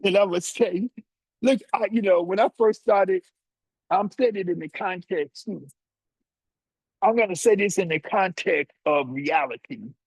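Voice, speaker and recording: A man in his seventies leads a yoga class, speaking in a husky, slightly high voice. He unhurriedly talks students through their body, pausing often between short phrases. The sound is dry and close. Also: no room sound, low-quality recording. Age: 70s. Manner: slow, deliberate, explanatory, with many short pauses. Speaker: yoga teacher. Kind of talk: guided yoga instruction with teaching asides.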